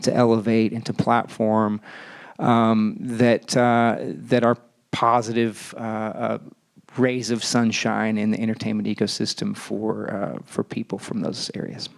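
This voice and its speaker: A man's voice: fairly low pitch, flat delivery, measured pace, creaky. A man, a talent agent speaking on a festival panel, hesitant with many fillers. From American South. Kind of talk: panel discussion.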